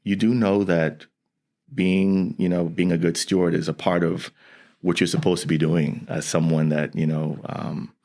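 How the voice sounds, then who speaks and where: A man's voice: low voice, little pitch movement, moderate pace, mellow. A man from the Bahamas, a scientist and educator, talking earnestly in an interview, often restarting his sentences. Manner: earnest, with frequent restarts and "you know". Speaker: guest, environmental scientist and educator. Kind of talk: conversational interview.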